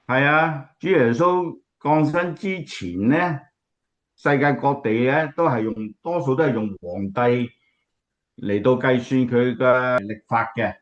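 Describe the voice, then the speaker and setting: A man playing a gentle, devout grandfather who explains faith in a Cantonese radio drama. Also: medium pitch, unhurried, muffled recording, lightly acted. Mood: earnest teaching.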